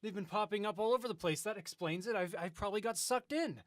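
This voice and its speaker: A man's voice: high-pitched, fast talker, dry recording, close to an everyday voice. An actor playing a confused, earnest radio DJ who has lost his memory and keeps asking questions. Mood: protesting. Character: confused amnesiac male radio DJ. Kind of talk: comedic audio drama acting.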